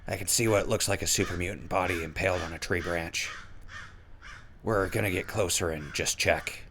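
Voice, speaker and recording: Man playing a low-voiced wasteland radio host. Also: fairly even intonation, moderate pace, noisy background. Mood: worried. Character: wasteland radio host and wanderer, wry, loyal, weary. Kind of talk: audio drama log and mock sponsor reads.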